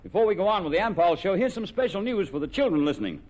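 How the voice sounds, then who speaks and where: A man's voice: sits high, very animated, fast, a little mellow. A man with an Australian accent hosting an old-time radio quiz show, chatty and folksy, bantering and plugging the sponsor. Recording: vintage radio recording, echoey hall, background noise.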